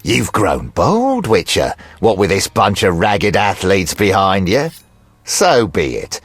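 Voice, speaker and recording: Game villain, male: sneering gang boss, low voice with big swings, taunting, dry close sound. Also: huge pitch swings, slow, breathy edge, no room sound, heavily acted. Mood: sneering, defiant. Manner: mock-polite, taunting. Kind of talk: video game voice acting.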